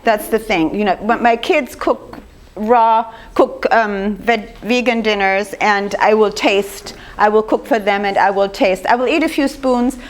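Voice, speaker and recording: An older woman with a slightly rough voice gives an informal lecture, talking conversationally and putting questions to her audience. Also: mid-range voice, unhurried. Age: 50s or older. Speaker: speaker giving a lecture on raw food.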